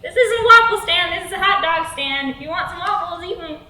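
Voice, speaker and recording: A woman's voice: sits high, lively intonation, moderate pace, bright, forceful tone. A young woman playing a chatty stand-up comedian, speaking conversationally in an echoey room. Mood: animated, amused. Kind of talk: conversational storytelling and dialogue. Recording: echoey room, faint noise.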